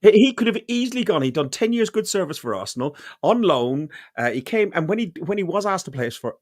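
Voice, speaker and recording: A man hosting a podcast who talks in a lively, jokey way and often stumbles over his words. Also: high voice, fast, dry recording, studio quality. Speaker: podcast host. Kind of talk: casual chat.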